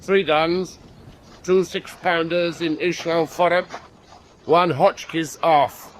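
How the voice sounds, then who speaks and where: A man's voice: fairly high pitch, fairly even intonation, slow, slight background noise. An actor playing a pompous English naval commander, formally addressing his men in a radio drama. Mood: commanding.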